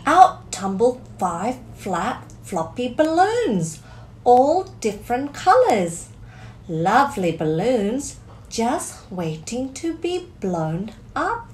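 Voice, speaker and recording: Woman, children's storytime reader, lively swooping pitch, deliberate expressive delivery, slightly noisy indoor recording. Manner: expressive, deliberate, drawn-out key words. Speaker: storyteller, teacher reading to children. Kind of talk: animated picture-book read-aloud for children. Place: small curtained room indoors.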